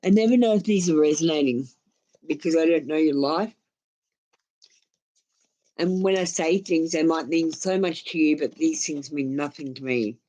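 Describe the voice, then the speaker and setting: Woman doing a live tarot reading, low mellow voice, takes her time, chatty and blunt. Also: moderate pace, pauses often, rough recording. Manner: blunt, informal, sweary. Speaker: tarot reader and live stream host. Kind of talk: casual live tarot reading, giving advice.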